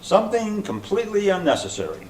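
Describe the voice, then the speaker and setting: A suspicious, grumbling man, acted by an amateur stage reader, complains in a fairly high male voice with lively swings. It is picked up from a distance in a reverberant room. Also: fast. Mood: grumbling, sarcastic. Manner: dry, complaining. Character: grumpy, suspicious, sarcastic older male skeptic. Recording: echoey room from far off, faint noise.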